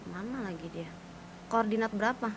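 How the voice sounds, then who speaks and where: A woman chatting casually and excitedly as she plays a game with friends, giggly and full of slang. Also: mid-range voice, moderate pace. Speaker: streamer and roleplay gamer. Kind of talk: casual gameplay chat with friends. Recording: noisy game voice chat.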